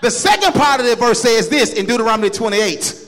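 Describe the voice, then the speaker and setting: A man preaching a fiery sermon with emphatic, rhythmic repetition and colloquial phrasing, often rising into shouts and sing-song. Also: very high-pitched, animated, fast. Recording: echoey hall with long reverb.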